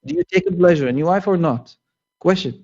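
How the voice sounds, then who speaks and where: A man's voice: high-pitched, big pitch swings, very fast, a little mellow, dull audio. A man calling into a debate, a native Arabic speaker with an Arabic accent, who keeps interrupting and repeating his questions. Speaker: caller.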